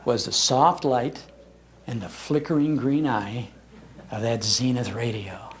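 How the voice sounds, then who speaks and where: A man's voice: medium pitch, fairly even intonation, unhurried. An older man with an American accent giving a nostalgic talk in an emphatic storytelling manner. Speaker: radio historian and broadcaster giving a talk. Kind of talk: nostalgic storytelling to a live audience. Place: public library talk.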